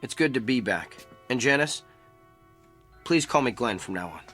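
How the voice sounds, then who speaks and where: A man's voice: mid-range voice, lively intonation, normal speed, bright, forceful tone, husky, faint music behind. An actor playing a troubled, wry, self-deprecating businessman in an audio drama.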